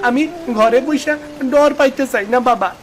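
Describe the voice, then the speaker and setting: A woman's voice: high voice, moderate pace, noisy background, lightly acted. A woman voicing a brave, eager village boy in a story, earnest and insistent, with a rural Bangladeshi accent. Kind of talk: dramatised Bengali story acting.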